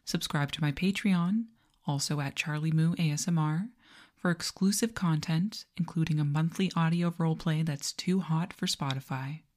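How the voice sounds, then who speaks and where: A woman speaking softly in a hushed, measured ASMR style. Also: sits low. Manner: soft, breathy, hushed, measured. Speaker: ASMR performer. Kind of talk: ASMR roleplay, slow hypnotic whispering and soft speech. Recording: reverberant echo with long tail.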